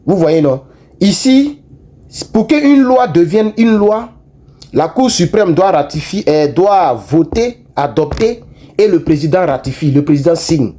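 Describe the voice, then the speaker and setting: A man giving casual political commentary to his online viewers, animated and repetitive, with frequent restarts. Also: high-pitched, unhurried. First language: not French.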